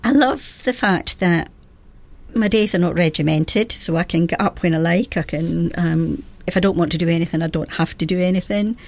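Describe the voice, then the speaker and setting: A woman in her seventies with a Scottish accent from Fife, a radio guest who talks with many pauses and little laughs. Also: on the low side, a little mellow. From Scotland. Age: 70s. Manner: hesitant, many ums, laughs often. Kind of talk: radio interview.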